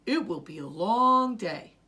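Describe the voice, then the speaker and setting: Storybook father, read by a woman: caring, measured, advising, expressive delivery. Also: mid-range voice. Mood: kindly firm. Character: calm, caring father advising his family. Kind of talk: children's storybook read-aloud.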